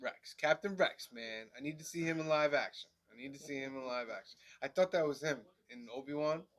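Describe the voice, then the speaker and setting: Man, nasal, stuffy from allergies, bright forceful tone, chatty co-host. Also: a little high, a little fast. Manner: relaxed, chatty, with frequent "um"s. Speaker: podcast co-host. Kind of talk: casual review chat.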